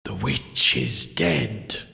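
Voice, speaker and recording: A man voicing a solemn, menacing husband in a horror story, intoning slowly in a hushed, ominous way. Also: on the high side, animated, vocal fry, heavily acted. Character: husband solemnly intoning a macabre dead-witch game. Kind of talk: dramatic horror story reading. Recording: long cavernous reverb.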